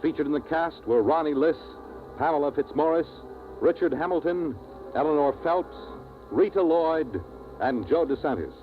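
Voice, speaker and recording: A man reading closing credits as a radio announcer in a formal, crisp manner. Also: a little high, moderate pace. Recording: tinny old radio transcription with music.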